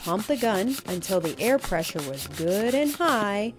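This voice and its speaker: Female storyteller, expressive children's read-aloud, slow and emphatic, medium pitch, faint music behind.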